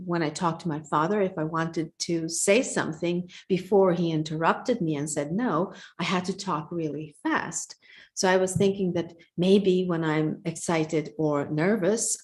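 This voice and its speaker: Calm, slow-speaking woman coach. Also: on the low side. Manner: slow, calm, thoughtful, almost meditative. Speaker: co-host and coach. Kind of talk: reflective live-stream conversation.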